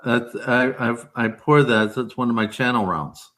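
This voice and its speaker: A man hosting a casual interview, speaking conversationally and haltingly as he searches for his words. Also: medium pitch, a bit bright. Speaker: interviewer, channel host.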